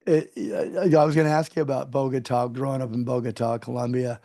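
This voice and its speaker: A male interview host with a gravelly, creaky voice talks with effusive, gushing enthusiasm, often restarting his phrases. He is recorded dry and close, with no room around him. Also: medium pitch, level tone, fairly quick, warm tone, dry recording. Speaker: show host.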